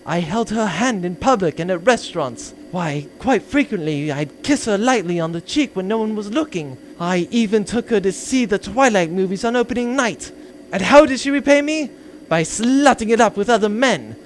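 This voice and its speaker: A man's voice: high voice, animated, normal speed, slight background noise, low-quality recording. A man voicing a self-pitying, pompous bounty hunter villain in a comic audio drama, full of aggrieved, long-winded speech.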